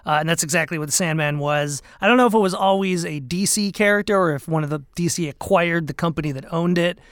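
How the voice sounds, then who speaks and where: A man's voice: on the high side, fast, fairly bright tone, no room sound, studio quality. A man co-hosting a podcast, chatting casually and rambling a little with fillers. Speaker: podcast co-host.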